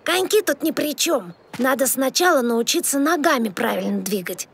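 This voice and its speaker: Earnest, high-voiced polar bear cub. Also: female voice, expressive, normal speed, gravelly, breathy edge, full character voice. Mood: instructive. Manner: earnest, instructive. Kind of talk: animated children's cartoon acting. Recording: music behind the dialogue.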